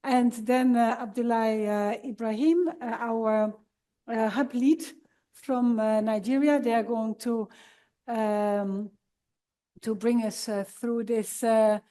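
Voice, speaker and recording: A woman introducing the moderators at a conference, pausing often with fillers. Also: fairly high pitch, steady tone, mellow. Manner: halting, many fillers.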